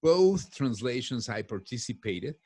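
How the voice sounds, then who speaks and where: A man's voice: mid-range voice, very animated, unhurried, darkish tone, no room sound, studio quality. Talkative middle-aged man. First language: Spanish. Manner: talkative, piles up restarts. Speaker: guest. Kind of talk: casual podcast chat. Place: home office. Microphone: headphones on a video call.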